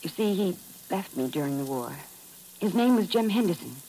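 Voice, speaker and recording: An actress playing an earnest, insistent deserted American wife, melodramatic in the manner of 1950s radio drama. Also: female voice, fairly low pitch, lively intonation, moderate pace, mellow, rough recording. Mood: composed, earnest. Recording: old radio recording with faint hiss.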